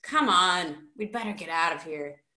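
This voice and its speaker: A woman voicing a calm, kindly, sensible boy in a storybook, speaking in a reasonable way. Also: fairly low pitch, normal speed, reverberant. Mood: irritated, practical. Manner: quiet, reasonable.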